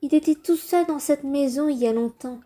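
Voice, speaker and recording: Woman voicing a high-pitched, earnest young boy. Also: brisk, mellow, natural voice. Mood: proud, excited. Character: earnest young boy putting on a puppet show. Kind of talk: French fan comic dub, storytelling.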